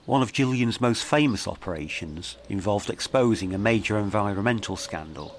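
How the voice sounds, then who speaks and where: A man reading scripted mystery narration in a measured, dramatic storytelling manner. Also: lowish voice, animated, a little hiss or hum. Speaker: documentary podcast narrator.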